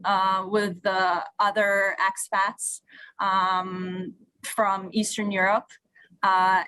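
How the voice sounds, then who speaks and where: Ukrainian-born woman, russian is her first language, giving a radio interview, slow and halting, dry sound. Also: medium pitch, a bit bright, dry recording, low-quality recording. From Odessa, Ukraine; moved to Canada at eleven. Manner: halting, hesitant, searching for words. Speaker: interview guest. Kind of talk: radio interview, personal account.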